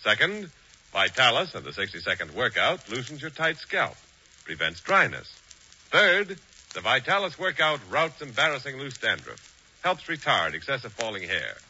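Bright, forceful 1940s male radio announcer. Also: medium pitch, very animated, moderate pace, slight background noise. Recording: muffled 1940s radio broadcast, music and audience behind.

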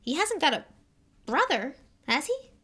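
A woman voicing an earnest, flustered young boy wizard who talks in hurried half-whispers and sometimes stammers. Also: high voice, sing-song, sharp tone, pitched above the performer's own voice, heavily acted. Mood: puzzled.

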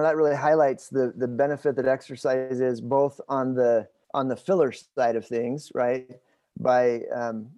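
A man in his forties in a conversational interview, explaining things in a halting, thinking-aloud way. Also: mid-range voice, mellow, no echo. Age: 40s. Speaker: host interviewing his father.

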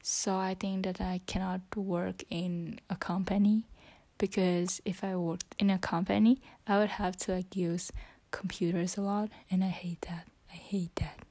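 A teenage woman, an English speaker, talking to her phone in a casual vlog, hesitant, often searching for words, correcting herself and dropping into a soft half-whisper. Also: on the low side, little pitch movement, a little slow. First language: Vietnamese. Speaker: student vlogger. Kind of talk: casual self-talk vlog practicing English.

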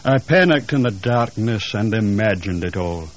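A man's voice: a little high, sing-song, moderate pace, a little mellow, breathy edge, muffled recording, voice pushed up. A man voicing a shaken, insistent husband in a ghost story, earnest and nervy. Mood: defeated.

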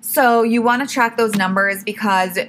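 A young woman hosting her own podcast, talking casually and correcting herself often, a little hoarse as she starts to lose her voice. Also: on the high side, steady tone, normal speed, a bit bright, slight background noise. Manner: chatty, self-correcting, says "like" and "literally" a lot. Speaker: podcast host. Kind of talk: casual solo podcast tips.

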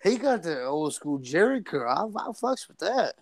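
A man's voice: on the high side, sing-song, moderate pace, creaky, no room sound, studio quality. A man with a stutter co-hosting a casual podcast, talking animatedly and profanely, tripping over and repeating his words. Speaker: podcast co-host.

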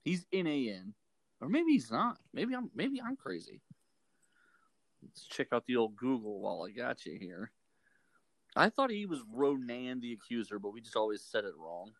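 A man's voice: mid-range voice, very animated, no echo. Dry-voiced Texan man, joking and argumentative. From Texas. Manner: joking, argumentative, quick to correct. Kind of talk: casual chat.

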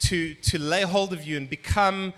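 High-voiced man preaching emphatically. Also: steady tone, a bit bright. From not from Brazil. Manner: emphatic, rhetorical questions, pauses for translation. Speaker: guest preacher. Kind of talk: sermon with live interpreter.

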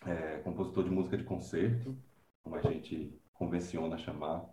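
A man's voice: deep voice, steady tone, normal speed, warm tone, reverberant, slight background noise. A man from Bahia, a composer and professor talking unhurriedly in an interview, thinking aloud with many fillers. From Bahia, Brazil. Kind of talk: relaxed conversational interview.

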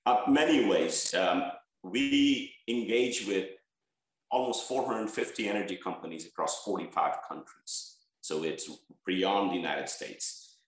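Man from Bangladesh, research leader, earnest and listing, lowish voice. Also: measured pace, heavy reverb, rough recording. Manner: earnest, stresses key words, lists points. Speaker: head of energy research, interview guest. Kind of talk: interview answers.

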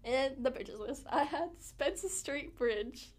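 A young woman co-hosting a podcast in a fairly high voice. She is giggly and playful, often repeating lines back for a laugh. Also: animated, takes it slowly. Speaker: co-host. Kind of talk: casual podcast chat.

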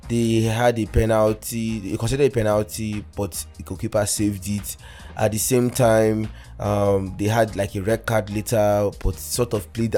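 Nigerian man, podcast host, low morning voice, soft music under him, rambly. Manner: rambling, many fillers and self-corrections. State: morning voice, deeper than usual. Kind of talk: casual solo fantasy football podcast.

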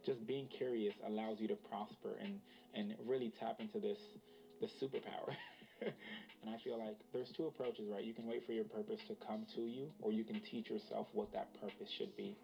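A man's voice: on the low side, steady tone, brisk, gravelly. A young man raised in Los Angeles and born in Ghana, earnestly reflecting in an interview clip with casual fillers. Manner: earnest, fillers of "like" and "you know". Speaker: tech designer in a profile clip. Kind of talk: reflective personal interview monologue. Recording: dull clip played with music behind. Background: music.

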